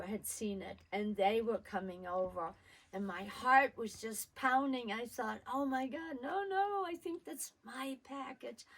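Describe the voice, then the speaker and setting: An older woman with a hoarse, crackly voice, chattily telling a personal childhood story to her regular listeners. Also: mid-range voice. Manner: slow, homely, chatty storytelling.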